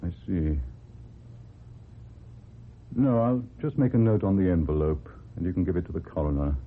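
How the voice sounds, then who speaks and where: An actor playing a brisk, detached senior investigating official in a radio drama, dry and businesslike. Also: male voice, low voice. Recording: muffled old radio recording, background hiss.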